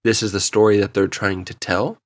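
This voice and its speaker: A man's voice: on the low side, level tone, brisk, no echo. A man co-hosting a podcast, chatting casually and jokily while giving his opinions in a review. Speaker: podcast co-host. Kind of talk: casual review chat with anecdotes.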